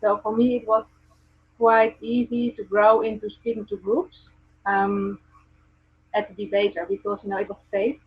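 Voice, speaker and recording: A woman's voice: medium pitch, level tone, moderate pace, slightly rough, faint music behind. A woman from the Netherlands with a Dutch accent, chatting earnestly as a guest on a video call with many ums and restarts. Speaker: guest, presence coach. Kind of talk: casual video call chat. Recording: tinny phone-like video call audio.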